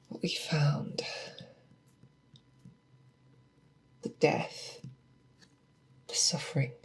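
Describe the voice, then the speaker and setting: A woman playing a seasoned, grave and protective female monster hunter in a slow, hushed, breathy ASMR roleplay, close to the microphone. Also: extremely low pitch, full character voice. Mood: somber. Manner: slow, deliberate, hushed, with pauses. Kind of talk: ASMR roleplay, close soft speech and whispers. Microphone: close binaural microphone.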